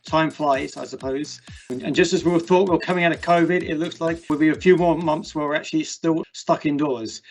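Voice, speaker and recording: A man hosting an online meetup, chatting casually and ramblingly as he interviews a guest over a video call. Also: a little high. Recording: dull, muffled call audio.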